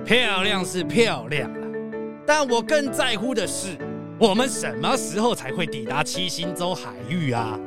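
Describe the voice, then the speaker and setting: Man playing a slow, hearty, carefree adventurer. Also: sits high, sing-song, music in the background. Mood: eager. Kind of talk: children's audio drama acting.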